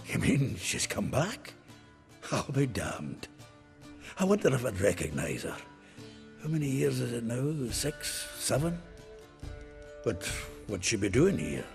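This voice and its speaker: A gravelly, creaky-voiced dwarf warrior in a fantasy game, with a very animated pitch that jumps around. He is brash, hearty and boisterous, a colorful talker. Faint music sits behind the voice. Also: unhurried, long pauses. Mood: surprised, concerned. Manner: boisterous, colloquial, colorful cursing. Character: brash, hearty male dwarf warrior, foul-mouthed and loyal. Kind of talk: video game cutscene acting.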